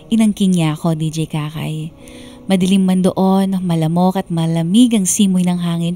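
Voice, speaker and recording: Radio drama narrator: woman as sentimental young Filipina, mellow, slightly low, wistful, over music. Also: close to an everyday voice. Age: young adult. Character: young woman confiding her love story, sentimental. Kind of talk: scripted dramatic first-person narration.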